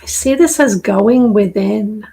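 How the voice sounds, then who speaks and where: Middle-aged New woman, raspy and gravelly, dry throat, slow soothing tarot reader. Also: medium pitch. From southern New Hampshire. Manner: slow, soft, soothing intuitive reading. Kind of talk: live-stream tarot reading.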